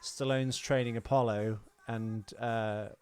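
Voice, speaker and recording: A man's voice: mid-range voice, steady tone, slow pace, darkish tone, clean tone. A man co-hosting a podcast, chatting casually, explaining film trivia and swearing freely. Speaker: podcast co-host.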